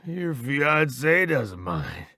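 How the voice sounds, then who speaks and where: A man voicing a gravely injured soldier in an audiobook, resigned and wry, his speech slow and labored. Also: on the high side, animated, dry recording, clean studio sound, voice pushed up, full character voice.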